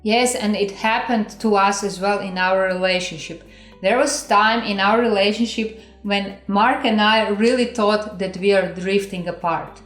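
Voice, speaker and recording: A woman's voice: mid-range voice, steady tone, a little slow, fairly bright tone, echoey room, faint music behind. A woman with a Croatian accent, a native Croatian speaker, giving earnest, encouraging relationship advice as a co-host. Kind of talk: casual advice talk.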